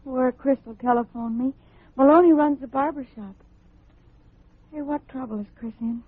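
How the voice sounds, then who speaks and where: An earnest, anxious young sweetheart from 1950s radio. Her voice is high and breathy, with a hesitant, devoted air. The vintage recording is dry and phone-like. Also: moderate pace, no room sound. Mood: worried. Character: anxious, loyal young sweetheart of a troubled man. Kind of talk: 1950s radio drama acting.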